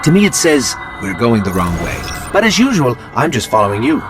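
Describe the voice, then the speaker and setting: An actor playing a small, loyal robot companion, anxious and wry, with a quippy, inquisitive way of talking in a video game. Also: male voice, mid-range voice, very animated, fairly quick, natural voice. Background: loud music.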